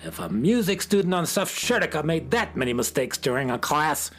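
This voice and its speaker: A stern, self-important inspector of the music police, performed by a man for a children's radio play. He pronounces rules severely and officiously, with a highly animated pitch. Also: a little fast, a little hiss or hum. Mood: stern, scornful. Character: pompous, stern male music-police inspector from another planet.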